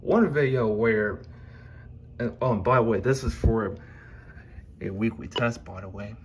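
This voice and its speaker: A man presenting his collection in an informal, unscripted home video, speaking in halting, meandering fragments into a phone camera. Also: fairly low pitch. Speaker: YouTuber presenting own collection. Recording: clear background noise and room ambience.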